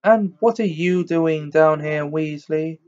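A man's voice: fairly high pitch, unhurried, darkish tone, voice pushed up, natural voice. A man doing a drawling, sneering teen snob. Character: sneering snobbish teen boy bully. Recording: dull lo-fi recording.

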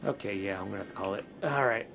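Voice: low voice, rapid-fire, creaky, noisy background. A gaming host giving casual let's play commentary, grumbling in exasperation with mild swearing. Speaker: gaming channel host playing the game. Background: video game music.

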